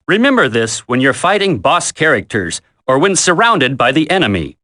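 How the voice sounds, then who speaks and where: An actor playing a military instructor in a video game tutorial, coaching a recruit in a firm, deliberate, commanding way. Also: male voice, mid-range voice, very animated, pushed and bright. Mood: instructive, firm.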